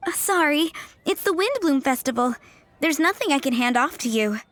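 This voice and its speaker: High, chirpy young flower girl. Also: animated, moderate pace, fairly bright tone, no echo. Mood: friendly. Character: sweet young flower shop girl, cheerful and polite. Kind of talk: video game character acting.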